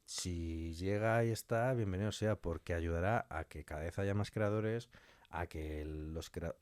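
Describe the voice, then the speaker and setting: A man from Spain with a fairly low voice and a Spanish accent, recorded dry and close. He speaks as a guest in a conversational interview, arguing his points through rhetorical questions and little imagined quotes. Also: a little mellow, no echo. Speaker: interview guest, audio fiction writer. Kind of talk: conversational interview, giving opinions.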